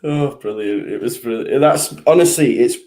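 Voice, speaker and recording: A man's voice: medium pitch, normal speed, mellow. A man from Halifax in Yorkshire on a casual online football chat, giggly and laughing often, talking into a headset. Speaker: panel guest.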